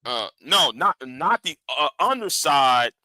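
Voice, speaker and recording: A man's voice: a little high, sing-song, a little slow, bright, forceful tone, dry recording. Guy in his 40s ranting on a live stream, lots of stuttering repeats, dry close mic. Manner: rambling, stammering repeats. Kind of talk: casual commentary.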